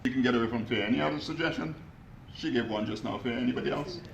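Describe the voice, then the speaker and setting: A gravelly-voiced man gives an energetic motivational talk to a live audience, asking them questions as he goes. His voice has a rough, creaky edge. The room is echoey, with a faint hiss underneath. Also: mid-range voice, quick pace, highs cut off. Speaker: motivational speaker.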